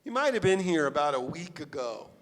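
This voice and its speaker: An easygoing searcher played by a man with a high voice, asking casual, polite questions. It is a live stage reading in a reverberant hall. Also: sing-song, fairly quick, background noise. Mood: casual, inquiring. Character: easygoing, hungry, politely probing searcher for a missing friend. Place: theatre stage before a live audience.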